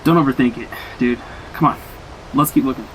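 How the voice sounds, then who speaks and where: An actor playing a cocky, dismissive young slacker with casual, slangy speech in a horror audio drama. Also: male voice, mid-range voice, fairly quick, a bit bright, a little hiss or hum, lightly acted, poor audio.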